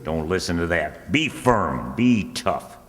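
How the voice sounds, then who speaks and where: A man's voice: sits low, very animated, takes it slowly, fairly bright tone, heavily acted. Bossy rural Southern bill collector, male actor, easily flustered, swooping intonation, old-time radio comedy in a reverberant hall. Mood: firm, scolding. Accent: rural Southern American. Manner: folksy, insistent. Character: Lum, rustic small-town man, bossy bill collector, easily flustered. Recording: reverberant hall stage recording.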